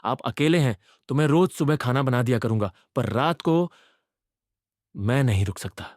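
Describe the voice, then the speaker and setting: A man voicing an evasive, uneasy house servant in a horror story, speaking in a hushed, deferential and hesitant way. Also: medium pitch, normal speed, no room sound, clean studio sound, lightly acted. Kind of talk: acted dialogue in a horror story.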